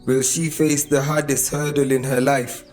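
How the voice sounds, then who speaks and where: A man's voice: medium pitch, flat delivery, normal speed, darkish tone. Kenyan man narrating a radio drama over music. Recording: music behind the voice. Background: background music.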